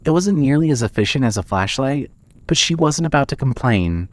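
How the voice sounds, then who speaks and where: A man narrating a scripted horror story in a dramatic, measured storytelling manner. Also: mid-range voice, expressive.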